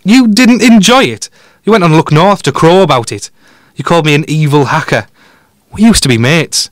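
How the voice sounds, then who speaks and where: A man's voice: a little high, lively intonation, normal speed, dry recording, clean studio sound, lightly acted. A man playing a cocky, sardonic detective in an audio drama, blunt and drily sarcastic, with a Teesside accent. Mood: aggrieved. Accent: Teesside English. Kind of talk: audio drama staged as a podcast.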